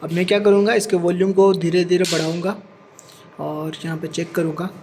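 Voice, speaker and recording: Male tutorial presenter, high voice, methodical and repetitive hands-on explanation with English terms mixed in, faint noise behind. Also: little pitch movement, fairly quick, darkish tone. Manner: step-by-step, repeats points, mixes English terms into speech. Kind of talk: practical hands-on demonstration.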